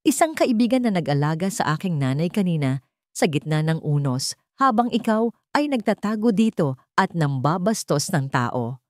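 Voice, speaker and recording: A woman's voice: on the low side, very animated, normal speed, no room sound. A commanding company chairman played by a woman narrator in a storybook drama. He is wealthy, stern and speaks with cold authority, recorded dry and close. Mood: cold anger.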